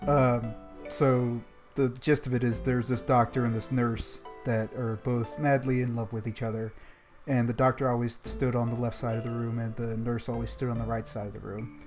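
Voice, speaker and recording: Man, flat intonation, casual podcast chat, understated and dry, guitar music clearly behind. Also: mid-range voice, normal speed. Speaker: podcast co-host. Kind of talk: casual chat, telling a joke.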